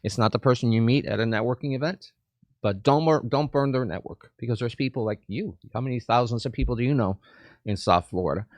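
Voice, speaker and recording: A man's voice: mid-range voice, fast talker, darkish tone, no room sound. A man from Cuba, a chamber of commerce president, talking eagerly as a guest in a studio interview and often repeating words. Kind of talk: casual interview chat.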